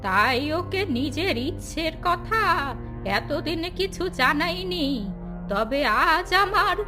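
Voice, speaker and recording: A woman's voice: very high-pitched, lively intonation, slow pace, music in the background, full character voice. A woman voicing a meek, ailing old village grandmother, plaintive and resigned, in a cartoon folk tale. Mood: weary, resigned.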